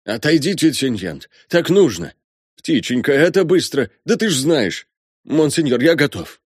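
A man's voice: on the high side, sing-song, unhurried, slightly rough, dry recording, clean studio sound, voice pushed up, full character voice. A man voicing a proud, devoted old colonel and father, speaking with anguished, formal fervour in an audiobook. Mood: tender, urgent. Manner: fervent, formal, pleading.

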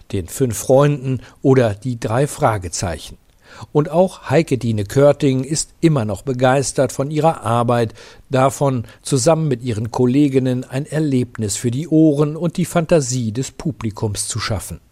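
A male reporter narrates a scripted radio feature. His voice is medium in pitch, with a soft, mellow tone. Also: normal speed.

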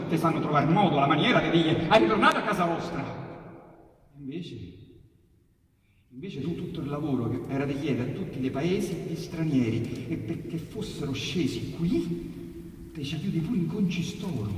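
A man with a Roman accent reciting dialect poetry as theatrical storytelling, emphatic and colloquial, with dramatic pauses. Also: a little high. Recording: very echoey hall from far off, faint noise.

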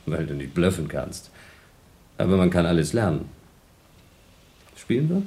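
A man's voice: deep voice, big pitch swings, measured pace, warm tone, exaggerated voice. A man playing a bored, teasing astronaut on a moon base in a radio play, talking in a colloquial, needling way.

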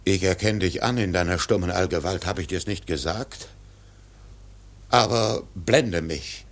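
Gravelly, obsessed old sea captain. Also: male voice, low voice. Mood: awed, defiant. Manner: slow, solemn, declamatory. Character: Ahab, obsessed defiant old male whaling captain. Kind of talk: literary radio drama monologue.

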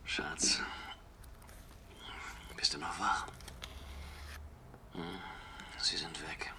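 An actor playing a blunt, short-tempered crook with a soft spot for his sweetheart, in a radio drama. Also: rumbling low, steady tone, very slow, whispered, full character voice. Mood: hushed, tender, calculating. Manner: blunt, snappish.

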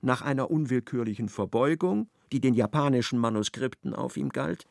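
A male narrator in a radio play, telling the story in a measured, ironic way. His middle-pitched voice has a gravelly edge, recorded dry and close. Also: dry recording.

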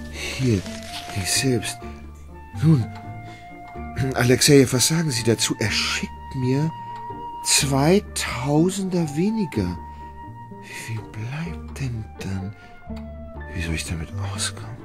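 An indolent, fretful gentleman in a radio drama. His voice is lowish and slow but swings widely in pitch. He sounds helpless, hesitant and plaintive.

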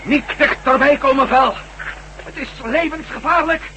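An actor playing an emotional, struggling former astronaut hero with intense, halting delivery in a science fiction radio drama. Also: male voice, very high-pitched, sharp tone. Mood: desperate, strained. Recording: faintly noisy old radio recording.

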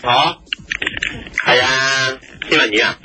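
A man's voice: medium pitch, steady tone, slow, noisy background, lightly acted. A man playing a bumbling, self-important TV reporter in a comedy parody of the news, rambling eagerly and interrupting in Hong Kong Cantonese. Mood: eager, flustered.

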